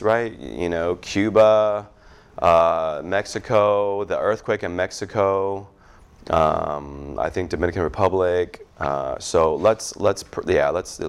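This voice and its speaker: Chatty pastor with a low voice. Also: male voice, smooth. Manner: chatty, warm asides with repeated "good, good". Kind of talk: casual church prayer time.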